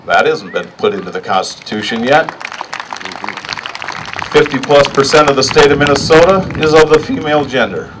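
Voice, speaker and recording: Middle-aged man speaking at a rally, noisy distant recording. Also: medium pitch. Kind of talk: public rally speech, partly improvised.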